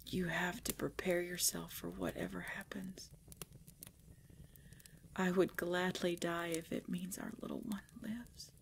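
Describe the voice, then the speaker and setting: A woman playing a tormented, devoted pregnant vampire in an intimate ASMR roleplay, halting and breathy, often dropping into a whisper. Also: lowish voice, level tone, a little hiss or hum. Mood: weary, tender. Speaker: voice actor in audio roleplay.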